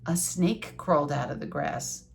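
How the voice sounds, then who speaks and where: Older woman storyteller for young children: teacherly, unhurried, lightly gravelled voice, read-aloud with explanatory asides. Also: low-pitched. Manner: deliberate, teacherly. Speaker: retired schoolteacher reading a children's book aloud.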